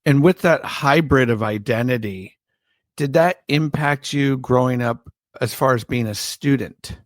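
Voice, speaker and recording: A man hosting a podcast, asking his guest questions in a slow, deliberate way. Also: medium pitch, no echo, clean studio sound. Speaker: podcast host. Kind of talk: interview questions.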